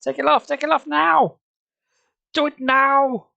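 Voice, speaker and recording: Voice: mid-range voice, normal speed, creaky, no echo, highs cut off, voice pushed up. A reader doing a shrieking, panicked girl. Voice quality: shrieking, pleading. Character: panicked young girl trapped in a monster mask. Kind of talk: storybook reading.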